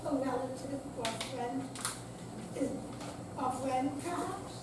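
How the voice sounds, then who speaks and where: A woman playing a stern, by-the-book police officer in a staged reading, speaking formally, firmly and deliberately. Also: high-pitched. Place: senior center hall, live performance. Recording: echoey room, distant mic, loud noise.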